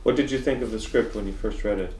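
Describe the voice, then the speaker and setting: A man's voice: on the low side, fast talker, darkish tone. Off-mic male interviewer in an echoey room. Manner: brief, hesitant questions. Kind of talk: interview questions. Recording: distant mic, roomy echo, faint noise.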